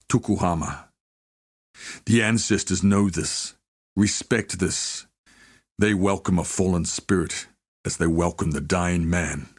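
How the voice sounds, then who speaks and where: An actor playing a solemn, honorable warrior smith who binds spirits, speaking in a measured, formal, reverent way for a fantasy game. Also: male voice, sits low. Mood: solemn, proud. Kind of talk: scripted fantasy game character lines.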